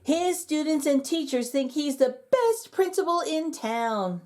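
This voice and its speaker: High, animated woman reading a picture book. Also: unhurried. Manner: expressive and animated. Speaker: storyteller.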